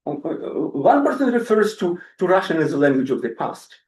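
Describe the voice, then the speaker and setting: A man giving an academic lecture as guest speaker, halting, with many fillers and repeated words. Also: on the high side, very animated, darkish tone, a little hiss or hum. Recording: roomy, distant lecture-hall sound.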